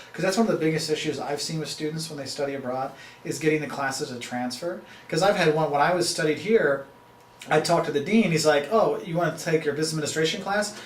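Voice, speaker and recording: A man's voice: a little high, a little hiss or hum. Chatty man with a roomy echo. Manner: rambling, chatty, full of fillers and restarts. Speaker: marketing professor and travel video host. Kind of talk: casual talk to camera.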